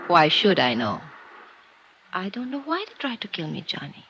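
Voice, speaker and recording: A femme fatale from a 1950s radio noir, all feigned innocence over a scheming core. Her pitch swings widely as she pleads and protests. The vintage recording has faint noise. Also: sing-song, normal speed, sharp tone, lo-fi sound, exaggerated voice. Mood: evasive. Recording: noisy old radio drama recording.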